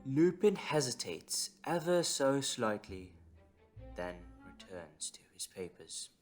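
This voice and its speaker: A man's voice: mid-range voice, big pitch swings, takes it slowly, faint music behind. A man reading scripted story narration as the narrator of an audio drama.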